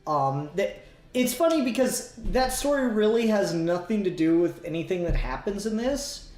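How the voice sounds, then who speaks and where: Casual higher-pitched man, roomy echo. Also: animated, normal speed, darkish tone. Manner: informal, swears freely. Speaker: podcast host. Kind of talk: casual podcast discussion.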